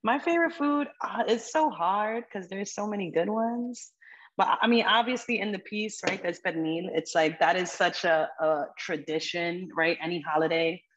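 A young woman with a very low voice talks casually, sprinkling in "like" as she explains her work. The sound is a muffled video call from a room at home. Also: moderate pace. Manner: casual, animated gestures, frequent "like". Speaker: artist. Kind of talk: video call artist talk. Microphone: laptop video call. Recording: dull video call audio.

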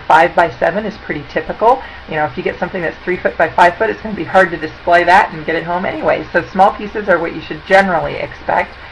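A woman's voice: fairly low pitch, a little hiss or hum, low-quality recording. A woman in her forties, speaking to a webcam in a chatty, upbeat, conversational way as she presents tips over slides. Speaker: auction consultant presenting tips. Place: indoor room. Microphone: webcam at desk.

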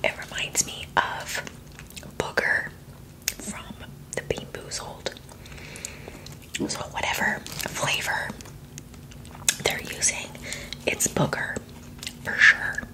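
A woman in her thirties whispering in a hushed, breathy ASMR style, close to the microphone. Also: slow, long pauses, low-quality recording. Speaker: ASMR creator tasting candy. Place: small indoor setup with fabric backdrop. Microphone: close tabletop microphone.

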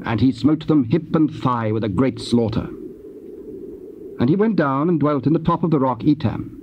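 A man giving a solemn, measured scripted narration of scripture. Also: mid-range voice. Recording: muffled, noisy old cassette transfer.

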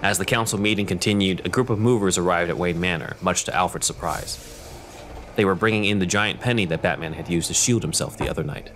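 A man narrating an audio drama in a measured, scripted storytelling manner. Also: low-pitched, fairly bright tone, slight background noise.

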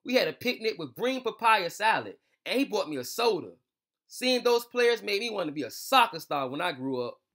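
Man reading as an eager little boy, high, bright. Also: very animated, normal speed, exaggerated voice. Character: eager young soccer-loving boy in a storybook.

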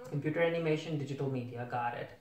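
A man's voice: medium pitch, racing. Young man reacting, roomy distant sound. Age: young adult. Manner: bemused, questioning, repeats himself. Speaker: reviewer reacting to a cartoon. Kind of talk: casual reaction commentary. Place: small home room with a desk. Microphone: camera a few feet away.

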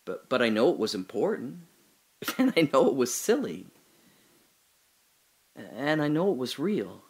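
An actor playing a confused amnesiac man trapped alone in a hole, rambling and swinging between giddy laughter and hushed muttering. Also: medium pitch, big pitch swings, a little slow, breathy edge, exaggerated voice. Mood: wistful. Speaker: audio drama lead actor. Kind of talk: solo audio drama monologue.

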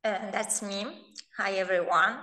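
A woman's voice: medium pitch, steady tone, unhurried, pushed and bright. A woman from Azerbaijan, an English teacher, introducing herself on a video call in a friendly, direct way. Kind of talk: casual self-introduction.

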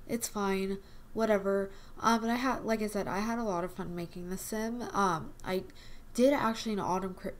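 A young woman hosting a casual game video, her voice hoarse and quiet from a cold, rambling with lots of fillers. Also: mid-range voice, slight background noise. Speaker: gaming channel host. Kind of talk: casual chat over gameplay. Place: home desk at night.

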